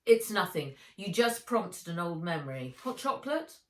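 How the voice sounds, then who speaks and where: Woman reading as a low, solemn male mentor. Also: sing-song. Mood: reassuring. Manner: formal, measured, authoritative. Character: solemn, caring older male guardian and kung fu mentor. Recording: echoey room.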